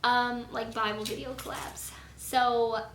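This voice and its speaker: Hoarse young woman vlogging earnestly. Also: on the high side, little pitch movement, slow, a bit bright. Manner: earnest, rambling, lots of fillers. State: hoarse, losing her voice. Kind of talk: casual faith vlog. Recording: echoey room, faint noise.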